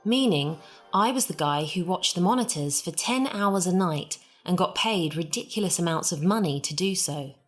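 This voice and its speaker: A woman reading a first-person story as scripted narration in a conversational storytelling manner. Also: medium pitch. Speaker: story reader.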